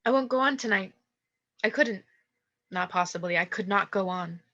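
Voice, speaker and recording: An actress playing a celebrated young stage star in a radio drama, poised and falsely humble, speaking in measured, formal tones. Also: female voice, mid-range voice, sharp tone, highs cut off, close to an everyday voice. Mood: anxious, refusing. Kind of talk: theatrical radio drama acting.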